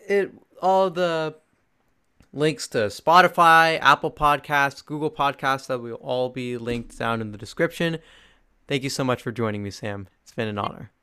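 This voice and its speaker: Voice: mid-range voice, pushed and bright, clean tone. A podcast host asking questions and signing off with brief, easygoing remarks in a casual chat.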